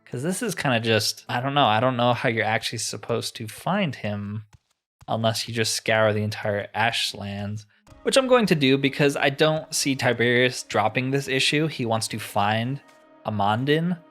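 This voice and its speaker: A man's voice: medium pitch, expressive, moderate pace, a bit bright. Casual, wry male gamer over music. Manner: musing, wry, conversational. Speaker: gamer playing and commentating a fantasy roleplay game. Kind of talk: casual gameplay commentary.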